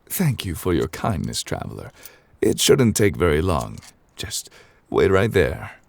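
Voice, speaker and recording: Man playing an ancient male god, very low voice, slow measured pace, formal and polite, absent-minded, game character ASMR. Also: sing-song, no room sound, full character voice. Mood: polite, unhurried. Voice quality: very low, creaky. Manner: formal, wordy, politely apologetic. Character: courteous, absent-minded ancient god in mortal man's form. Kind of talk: ASMR character roleplay, improvised.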